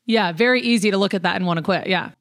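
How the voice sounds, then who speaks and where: A woman's voice: mid-range voice, fast. A woman hosting a casual interview, chatting informally and encouragingly and sprinkling her talk with "like". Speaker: podcast host, interviewer. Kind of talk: casual chat.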